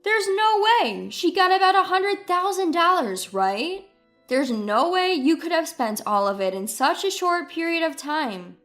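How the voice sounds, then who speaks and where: A mocking, cutting ex-wife from a dramatized story, acted by a woman narrator. Her voice is high and swoops with indignation. Faint music sits underneath. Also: sing-song, unhurried, a bit bright, full character voice. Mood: indignant. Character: scornful, newly independent ex-wife.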